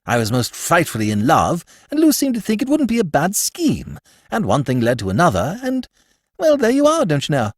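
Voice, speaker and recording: A man's voice: fairly high pitch, huge pitch swings, moderate pace, no echo, studio quality, pitched above the performer's own voice. A male narrator voicing a cheerful, chatty young with an airy, chattering drawl. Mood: breezy. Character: breezy young upper-class Englishman, chatty and cheerful.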